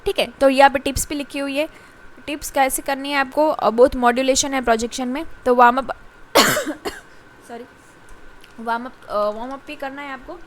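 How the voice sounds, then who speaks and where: A woman with an Indian accent delivers a lecture in a high voice. She halts often on fillers and restarts her words. English passages come out slow and deliberate, as if read off slides. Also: fairly even intonation. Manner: halting, frequent filler pauses and restarts. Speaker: teacher. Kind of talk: mixed-language lecture reading from slides.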